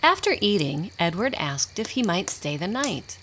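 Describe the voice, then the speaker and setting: A woman narrating a scripted radio drama in an expressive storybook style. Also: on the low side, normal speed, a little hiss or hum.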